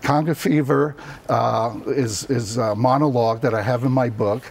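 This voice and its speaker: A middle-aged man from Cuba who grew up near New York, telling personal stories casually and a little haltingly as an interview guest in a TV studio. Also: medium pitch, fairly even intonation, vocal fry, darkish tone, breathy edge. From born in Cuba, raised in the New York area from childhood.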